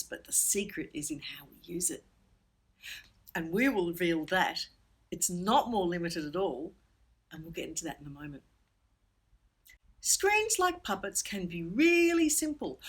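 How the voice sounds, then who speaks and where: A woman giving an instructional presentation for children in a playful, expressive manner with emphatic pauses. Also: fairly low pitch, slightly rough. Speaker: puppetry workshop instructor.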